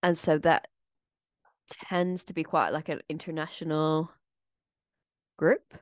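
A woman's voice: deep voice, fairly even intonation, slow pace, dry recording. A woman co-hosting a casual podcast, a theatre maker talking through her plans in a thoughtful, explaining way. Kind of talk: casual chat.

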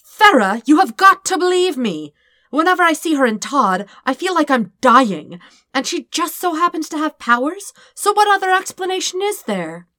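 A woman's voice: sits high, sing-song, normal speed, sharp tone, no room sound, studio quality, voice pushed up, exaggerated voice. A woman voicing a panicky, dramatic male detective in a story, nervous and insistent. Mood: frantic, insistent.

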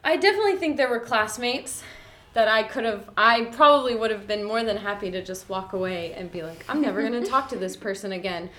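A woman's voice: medium pitch, lively intonation, fairly quick, bright, forceful tone. Earnest, thoughtful woman teacher. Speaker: teacher guest. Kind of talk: reflective casual chat.

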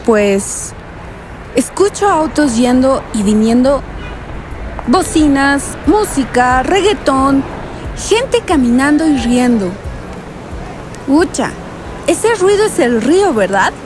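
Woman acting a high-pitched, sassy vacationer. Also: big pitch swings, takes it slowly, long pauses, over music, exaggerated voice, rough recording. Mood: curious, alarmed. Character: breezy, skeptical female vacationer, easily annoyed. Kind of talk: scripted audio drama acting.

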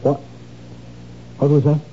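A man's voice: slow pace, mellow, a little hiss or hum, close to an everyday voice. An actor playing a worried husband who urges his wife to see a doctor, in a 1970s radio drama, with an insistent manner. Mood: stern. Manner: pleading, insistent. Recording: dull old radio broadcast.

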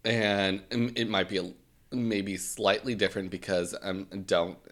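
A man's voice: on the low side, fairly even intonation, unhurried. A young man whose first language is Swahili, speaking thoughtfully and hesitantly in a casual podcast chat. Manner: thoughtful, hesitant, with restarts and soft laughs.

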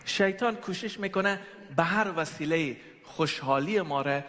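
A man's voice: a little high, racing, fairly bright tone. A man from Afghanistan with an Afghan accent, a native Persian speaker, preaching and giving testimony in short passages for an interpreter. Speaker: guest preacher. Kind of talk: sermon with personal testimony, pausing for an interpreter. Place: large echoing church hall. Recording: long hall reverb.

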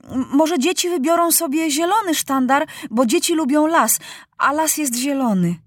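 A woman voicing an earnest, idealistic young boy king who makes a slow, careful speech. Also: high-pitched, dry recording, studio quality, voice pushed up, close to an everyday voice.

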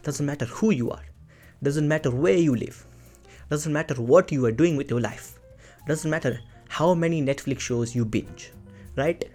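A man's voice: on the high side, normal speed, a little mellow, soft background music, natural voice. Man putting on a hammy Indian accent. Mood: mock-grand. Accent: put-on Indian. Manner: grandiose, repetitive, mock-dramatic delivery.

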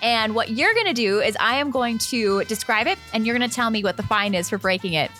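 High, bright Canadian woman co-host. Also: expressive, brisk, faint music behind. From Calgary, Canada. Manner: informal, playful. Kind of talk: casual chat, light quiz hosting.

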